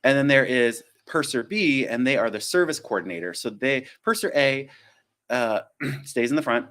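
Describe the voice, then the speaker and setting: A man's voice: mid-range voice, expressive, normal speed, a bit bright. A man, a flight attendant hosting a casual live-stream Q&A, chatty and self-deprecating, drifting into tangents and acting out little quotes.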